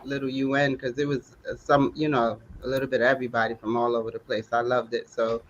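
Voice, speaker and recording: A man guest on a casual chat, telling stories in an animated, chatty way. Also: medium pitch, fast, lo-fi sound, a little hiss or hum.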